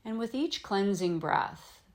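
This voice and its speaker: A woman teaching yoga nidra, leading a guided meditation in gentle, soothing phrases with frequent pauses. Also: mid-range voice, lively intonation. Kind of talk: guided meditation, slow instruction with long pauses.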